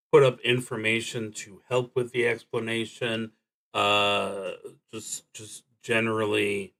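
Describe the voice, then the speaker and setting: Slow, low-voiced man thinking aloud. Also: sharp tone. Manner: halting, deliberate, trailing off between thoughts. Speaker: solo tabletop roleplaying streamer. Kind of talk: thinking aloud while playing a solo roleplaying game.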